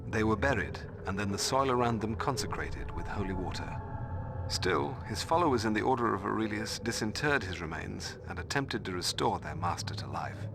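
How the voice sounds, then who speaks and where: A low-voiced, solemn scholarly mentor. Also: male voice, animated, vocal fry, noisy background. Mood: grave, scholarly. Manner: measured, formal, scholarly. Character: learned, solemn male watcher mentor recounting lore. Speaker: narrator. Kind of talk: scripted storytelling narration.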